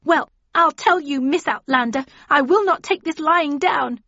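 A woman voicing an angry, jealous middle-aged woman in an audiobook, shrill and scornful. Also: fairly quick, husky, no room sound, highs cut off. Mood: indignant, threatening. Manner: shrill, spitting, rising indignation.

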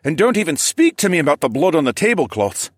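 Man acting a fussy groaning hall worker, pitched up. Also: very animated, fast, clean studio sound. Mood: exasperated. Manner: groaning, complaining.

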